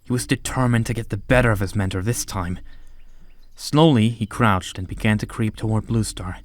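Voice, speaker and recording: A man's voice: fairly low pitch, moderate pace, dry recording. A man narrating an audiobook story from a script.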